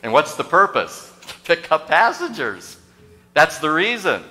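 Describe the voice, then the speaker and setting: Older male pastor, bright, forceful voice, stop-start delivery with lots of "uh", preaching in a big echoing sanctuary. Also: a little high, wildly swooping pitch, a little slow, soft background music. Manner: hesitant, many fillers and restarts, asks the congregation questions. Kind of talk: sermon. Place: church sanctuary, large and indoors. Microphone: podium microphone on a gooseneck. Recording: long church reverb.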